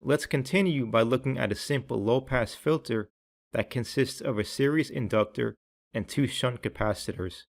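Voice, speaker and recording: A man with a mid-pitched voice narrates a software tutorial from a script. His delivery is measured and instructional, and he enunciates technical phrases with care. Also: vocal fry.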